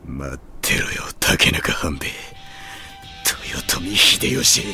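An actor playing a brash, hot-blooded young samurai warlord in an anime audio drama, with a rough, swaggering, defiant delivery. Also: male voice, rumbling low, big pitch swings, slow, sharp tone, soft background music, exaggerated voice. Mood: grim, determined. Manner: rough, swaggering, drops English words.

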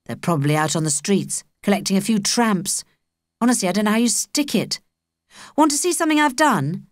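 A woman's voice: medium pitch, lively intonation, brisk, dry recording, studio quality, lightly acted. A British woman voicing a cocky, sardonic young boy with a blunt, offhand manner in a children's audiobook.

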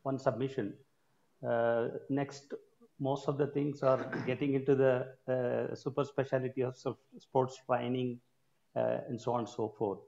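A man's voice: mid-range voice, level tone, vocal fry, warm tone. Older indian guy on zoom, stops and starts a lot, kinda muffled audio. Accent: Indian English. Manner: halting, with many filler sounds. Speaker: conference advisor and retired sports coach. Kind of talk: keynote remarks at an online conference. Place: home room with shelves of trophies. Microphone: laptop or earphone mic on a video call. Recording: muffled video call audio.

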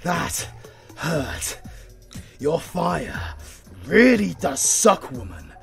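A man's voice: a little high, animated, gravelly, fairly bright tone, soft background music, full character voice. A British man playing an arrogant, flirtatious supervillain in a romantic comedy audio roleplay, theatrical and easily exasperated. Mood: pained, spiteful. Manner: theatrical, swinging from slow menace to exasperated outbursts. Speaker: voice actor.

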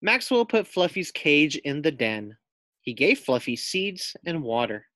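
A man's voice: fairly high pitch, very animated, unhurried, bright, forceful tone, no echo, studio quality. A man with an American accent reading a children's story aloud like a teacher, putting questions to his young listeners. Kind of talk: expressive children's read-aloud narration.

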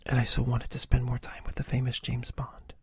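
A man's voice: medium pitch, monotone, brisk, no echo, studio quality. A man whispering as a flirty flight attendant. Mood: hushed urgent. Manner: soft breathy whisper. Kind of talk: whispered storybook reading.

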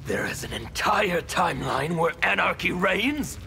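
A man's voice: sits high, big pitch swings, unhurried, gravelly, bright, forceful tone, faint music behind, heavily acted. A man voicing a grave male warrior bound by honor in a video game, speaking in a solemn way.

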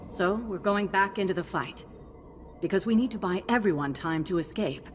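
A woman playing a grave, resolute alien general who briefs pilots in a measured, commanding way in a video game cutscene. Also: medium pitch, animated, a little hiss or hum, natural voice. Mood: urgent, resolute.

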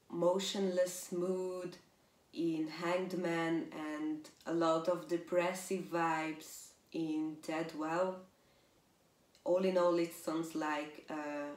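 A young Hungarian-accented woman answers interview questions slowly and thoughtfully, her voice sitting a little low. She sounds set back from the camera in a room with a noticeable echo. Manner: slow, thoughtful, measured. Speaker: band lyricist. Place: living room on a leather sofa. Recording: echoey room, mic at a distance.

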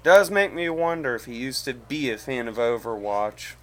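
A young adult man chatting casually and haltingly as a video host, recorded indoors on a webcam mic. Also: mid-range voice, lively intonation, crowd noise. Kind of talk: casual reaction chat. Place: small indoor room.

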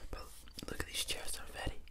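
A man with a slight cold, hosting an ASMR video in a slow, croaky whisper while reading aloud at a table. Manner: soft unvoiced whisper, unhurried. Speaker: ASMR channel host. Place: indoors at a table.

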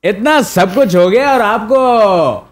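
A man's voice: high voice, lively intonation, takes it slowly. A man on a studio panel, teasing the host and cheering theatrically.

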